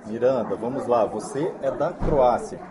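A man hosts a relaxed interview show in a mid-range voice, asking friendly questions and encouraging his guest. A crowd murmurs clearly behind him. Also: mid-range voice, measured pace, noisy background. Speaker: talk show host. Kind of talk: casual interview hosting.

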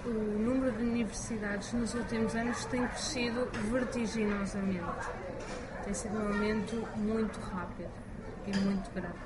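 A woman lecturer with a European Portuguese accent, answering in a measured way with hesitant fillers. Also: medium pitch, fairly even intonation. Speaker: university lecturer. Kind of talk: interview answers. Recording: loud background noise.

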